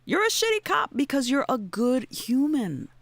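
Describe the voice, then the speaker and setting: A woman's voice: high-pitched, big pitch swings, normal speed, no room sound. A woman playing a laid-back, cynical drug dealer in an audio drama, speaking in slangy, blunt, teasing language. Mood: wry, philosophical. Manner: slangy, drawling, blunt, philosophizing. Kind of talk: naturalistic audio drama acting.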